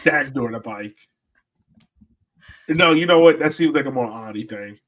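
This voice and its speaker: A man's voice: medium pitch, moderate pace, a bit bright. A man hosting a sports podcast in casual, joking chat.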